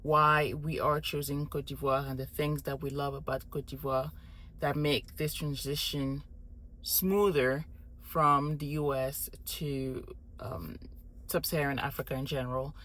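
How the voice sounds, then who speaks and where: A woman's voice: low-pitched, fairly even intonation, unhurried, fairly bright tone. A woman in her thirties, born in Morocco, explaining her family's decisions in a thoughtful, methodical way to camera. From born in Morocco, Congolese heritage. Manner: thoughtful, methodical, frequent restarts and listing. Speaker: family relocation vlogger. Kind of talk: explanatory casual talk to camera.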